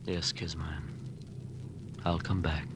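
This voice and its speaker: A man's voice: extremely low pitch, little pitch movement, takes it slowly. An actor playing a bewildered young man who narrates his own peril in a 1940s radio drama. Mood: weary. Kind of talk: 1940s radio drama acting, first-person narration and dialogue. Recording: vintage 1940s radio broadcast, music underneath.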